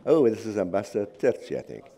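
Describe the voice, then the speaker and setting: A man's voice: lowish voice, sing-song, quick pace, vocal fry, warm tone, a faint crowd. A man moderating a conference session, light and joking as he calls on the audience.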